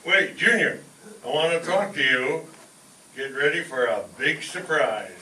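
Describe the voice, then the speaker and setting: An actor playing a blundering, excitable, scheming working-class family man in a 1940s-style radio sitcom. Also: a little high, a little slow, full character voice. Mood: eager, scheming. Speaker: amateur performer in a staged radio show. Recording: echoey room, distant mic, faint noise.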